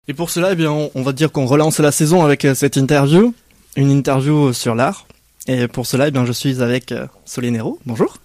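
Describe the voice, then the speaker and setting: A man's voice: mid-range voice, steady tone, a little fast, darkish tone, dry recording. A man hosting a local radio interview, hesitant, with frequent fillers as he introduces guests and asks questions. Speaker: radio host.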